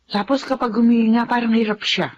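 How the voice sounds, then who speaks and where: A woman acting a worried, caring grandmother in a Filipino melodrama, with a motherly, expressive manner. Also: fairly high pitch, fairly quick, highs cut off, natural voice. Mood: concerned.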